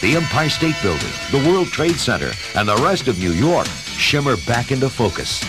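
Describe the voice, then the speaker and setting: A man narrating an adventure story in a measured, dramatic scripted style. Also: medium pitch, sing-song. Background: dramatic music.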